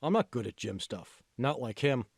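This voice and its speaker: A man's voice: mid-range voice, animated, moderate pace, vocal fry, dry recording, studio quality. Man voicing a reluctant, anxious gym novice. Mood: moaning, doubtful. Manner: hesitant, complaining.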